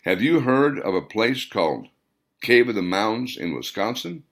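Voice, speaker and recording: Narrator as earnest low-voiced male storyteller. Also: very animated, a little slow. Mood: earnest, reminiscing. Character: earnest man telling his grandfather's cave story. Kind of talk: audiobook character dialogue.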